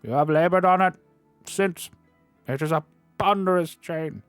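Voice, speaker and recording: A man voicing a mournful chained male ghost with high, moaning, drawn-out wails. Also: clear, resonant tone, no room sound, soft background music, natural voice.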